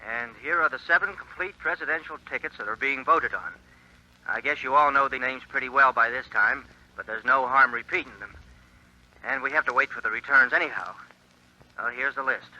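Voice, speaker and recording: An actor playing an earnest 1920s radio election announcer, reading returns in a formal, measured way, in a 1940s radio drama. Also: male voice, mid-range voice, pushed and bright. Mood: announcing. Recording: dull lo-fi 1940s radio recording.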